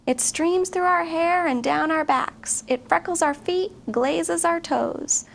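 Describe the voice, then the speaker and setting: A woman's voice: extremely high pitch, slow pace, vocal fry, a bit bright. A woman reading a picture book aloud expressively to children. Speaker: guest story reader. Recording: faint background noise.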